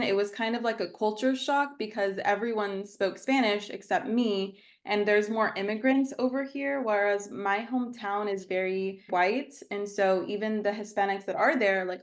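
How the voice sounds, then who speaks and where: A woman's voice: medium pitch, steady tone, normal speed, hardly any pauses. A young woman, a guest therapist chatting casually and filling her talk with "like". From Texas. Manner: chatty, frequent "like" fillers. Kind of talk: casual podcast chat.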